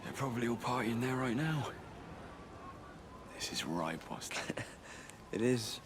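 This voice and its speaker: Blunt young working-class dad. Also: male voice, mid-range voice, animated, slow pace, noisy background. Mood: relaxed, matey. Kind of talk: naturalistic video game voice acting.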